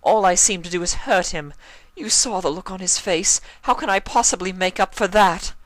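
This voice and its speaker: Elegant, theatrical pony lady, played by a man reading, high and emotional. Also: moderate pace, a bit bright, no room sound. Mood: anguished. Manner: dramatic and plaintive. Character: refined dramatic fashionable female unicorn pony.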